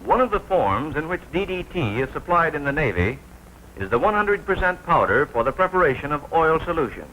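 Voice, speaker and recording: Bright-voiced 1940s American male film narrator. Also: fairly high pitch, big pitch swings, brisk. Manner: formal, precise, clearly enunciated announcer delivery. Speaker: military training film narrator. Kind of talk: scripted instructional narration, 1940s training film. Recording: noisy 1940s film soundtrack.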